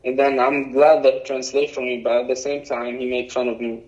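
A young man with an Arabic accent, a native Arabic speaker, answering interview questions in a halting way with many fillers. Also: medium pitch, flat delivery, darkish tone. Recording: dull lo-fi recording.

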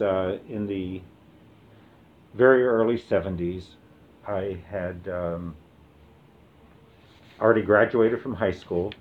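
Man, 70s, from the Midwest, interviewee, hesitant with fillers, lowish voice, muffled dull audio. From American Midwest. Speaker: oral history interviewee. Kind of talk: relaxed reminiscing with reading aloud.

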